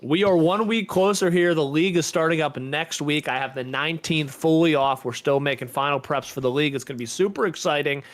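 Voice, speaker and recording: A man's voice: a little high, a little fast, few pauses. A man co-hosting a gaming podcast, chatting in an easygoing, informal, slangy way. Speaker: podcast co-host. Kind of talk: casual chat on a gaming podcast.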